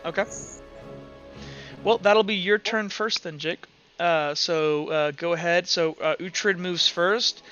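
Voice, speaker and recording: High bright-voiced man running a game. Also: takes it slowly. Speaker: game master. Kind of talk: running a tabletop roleplaying game. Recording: dull sound with music underneath. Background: music.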